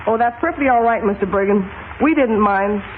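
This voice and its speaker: A woman's voice: medium pitch, a little fast. A woman gives scripted interview answers as a coach on a 1930s radio program. The sound is thin and phone-like, with faint hiss behind her. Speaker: tennis coach guest.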